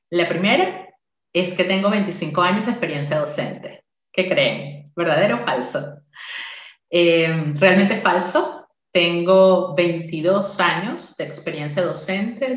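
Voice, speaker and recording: Woman, 40s, teacher giving a conference talk, lower voice, echoey room. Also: muffled recording. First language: Spanish. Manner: friendly, interactive, with frequent "eh" fillers. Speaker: university English teacher presenting at a workshop.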